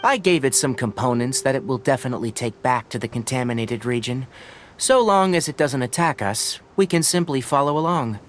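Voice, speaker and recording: An actor playing a cautious young forest scholar in a video game, speaking in a precise, analytical, instructive way over music. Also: male voice, medium pitch, animated, fairly quick, slight background noise, close to an everyday voice.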